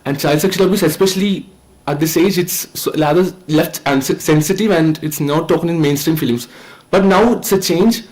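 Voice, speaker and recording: A nineteen-year-old man with an Indian accent gives an earnest, halting, informal thank-you speech as a student filmmaker. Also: on the high side, a little mellow. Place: event stage at a film festival.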